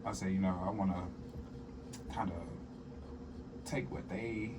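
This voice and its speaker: A man's voice: deep voice, fairly even intonation, measured pace, distant mic, heavy background noise. A young man from southern Arkansas, a comedian and musician, chatting casually as an interview guest and telling stories with a laugh. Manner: laid-back, laughing, storytelling.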